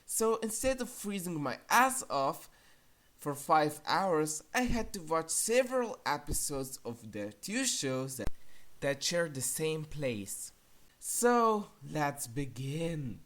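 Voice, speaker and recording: Low-voiced woman reviewer, slow and blunt. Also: big pitch swings, a bit bright. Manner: blunt, sarcastic, casually crude. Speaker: video reviewer. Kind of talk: opinionated countdown review narration. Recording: music behind the voice. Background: music.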